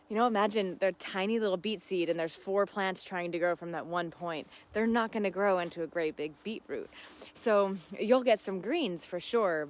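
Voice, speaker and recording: A woman hosting a casual live gardening Q&A, talking in an effusive, affirming, giggly and conversational way. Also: lowish voice. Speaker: gardening host answering live viewer questions.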